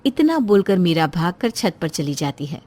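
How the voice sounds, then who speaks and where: A woman's voice: on the low side, very animated, normal speed, warm tone. A woman storyteller reading a scripted moral story as narration.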